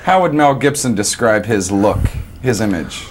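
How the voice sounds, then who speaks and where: A man's voice: lowish voice, expressive, moderate pace, background noise. A male interviewer asking light, teasing questions in an entertainment interview.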